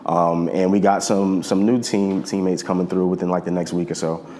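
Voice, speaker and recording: Deep-voiced laid-back male host. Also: steady tone, moderate pace, crowd noise. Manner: laid-back, slangy, repeats words. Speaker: interview host. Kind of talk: casual interview chat.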